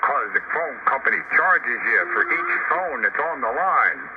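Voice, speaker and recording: A man's voice: mid-range voice, very animated, a little slow. An older man in casual, reminiscing conversation, talking over a two-way radio as one of a group of operators. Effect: radio transmission. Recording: dull noisy two-way radio transmission.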